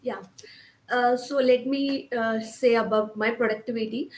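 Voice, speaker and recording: Young female panel speaker, high-pitched voice, echoey room; explains her own routine informally. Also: steady tone, a little slow, darkish tone. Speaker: online business owner on a panel. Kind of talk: personal account in a group chat.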